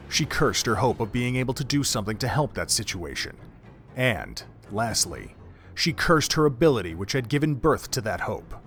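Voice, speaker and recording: A man giving solemn, literary scripted narration for an audio drama. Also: mid-range voice.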